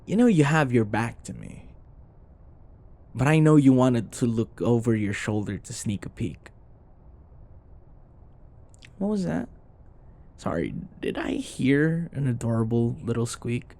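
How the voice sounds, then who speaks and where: A man acting a teasing, flustered young office rival in an intimate ASMR boyfriend roleplay, halting and sometimes dropping into breathy murmurs and sighs. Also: medium pitch, a little mellow, heavily acted.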